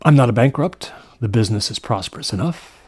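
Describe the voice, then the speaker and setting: Man playing male country tradesman, horror audiobook character, folksy, emphatic, earnest, slightly husky, dry close sound. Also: medium pitch, expressive, brisk, a little mellow, dry recording. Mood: chatty, earnest. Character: earnest, plain-spoken male village stonemason, proud and chatty.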